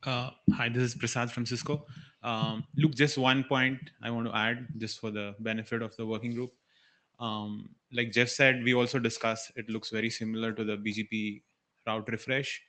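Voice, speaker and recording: A man's voice: medium pitch, level tone, normal speed, a bit bright. A man speaking as an engineer at a working group, offering technical comments with some hesitation.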